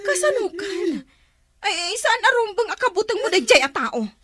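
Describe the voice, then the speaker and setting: A woman's voice: very high-pitched, very animated, moderate pace, bright, forceful tone, no room sound, clean studio sound, exaggerated voice. A woman playing a caring middle-aged aunt who coaxes and soothes her crying niece. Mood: soothing. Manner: soothing, coaxing.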